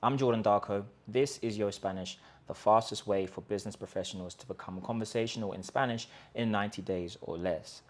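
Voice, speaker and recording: A man with a fairly low voice delivers an emphatic talk to camera. He stacks up rhetorical questions and restarts his sentences as he pushes his point. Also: a little fast, hardly any pauses. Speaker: host of a language-learning channel. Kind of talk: direct-to-camera pitch talk. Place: in Colombia.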